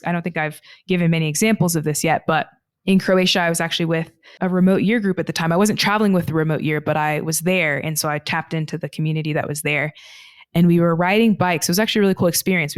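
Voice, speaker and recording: Woman, podcast guest, reflective and sincere, smooth lower voice. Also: dry recording, clean studio sound. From United States (Texas). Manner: earnest, thoughtful, slows down on heavy points. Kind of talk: reflective personal storytelling.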